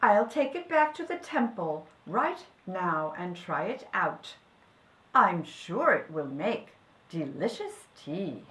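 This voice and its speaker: A woman voicing a polite, mild male priest in a storybook, with a courteous and measured manner. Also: on the low side, very animated, a bit bright, echoey room, voice pushed down, heavily acted, poor audio. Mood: pleased, polite. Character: polite tea-loving male priest, mild, easily startled. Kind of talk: storybook character voice.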